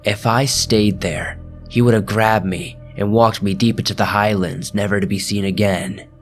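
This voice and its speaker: A man hosting a scary story channel, reading ghost stories aloud in a slow, measured, hushed storytelling delivery. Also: fairly low pitch, steady tone, faint music behind. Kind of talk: scripted horror story narration.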